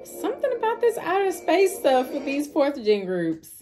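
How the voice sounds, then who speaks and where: A woman's voice: high-pitched, huge pitch swings, normal speed, over music. An American woman giving casual reaction commentary as a video host, speaking in a blunt, slangy, conversational way.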